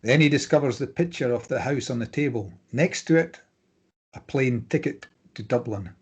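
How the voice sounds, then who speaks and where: A man's voice: medium pitch, moderate pace, slightly rough. A man reading stage directions aloud as scripted narration at an informal drama table read.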